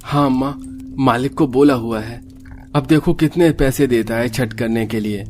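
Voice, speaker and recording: A man's voice: mid-range voice, unhurried, darkish tone, faint music behind, natural voice. A man voicing a dutiful, earnest grown son in a family cartoon.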